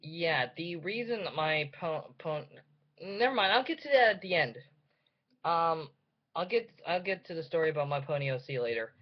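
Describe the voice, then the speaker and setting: A young man with a fairly high voice hosts a casual reaction video. He rambles hesitantly, cutting himself off and putting himself down. The webcam sound is dull and lo-fi. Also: expressive, moderate pace. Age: young adult. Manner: hesitant, self-deprecating, breaking off mid-sentence. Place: indoors in a room at home. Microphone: webcam or computer mic.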